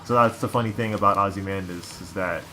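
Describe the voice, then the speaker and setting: A man's voice: sits low, fast, pushed and bright. A man giving casual game commentary, chatty and rambling as he explains things. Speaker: let's play co-commentator. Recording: noticeable background noise.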